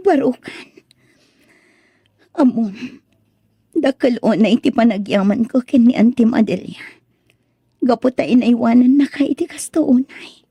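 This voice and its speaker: A woman's voice: a little high, dark tone, breathy edge, no room sound. A woman playing a remorseful, loving mother who speaks tenderly and pleadingly in a radio drama. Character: remorseful, loving mother back from working abroad.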